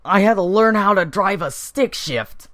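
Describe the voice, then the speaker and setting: A man's voice: sits high, animated, unhurried, pushed and bright, no echo, clean studio sound, voice pushed up, full character voice. A man voicing an earnest, nerdy cartoon turtle who narrates his own heroics. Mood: earnest resolve.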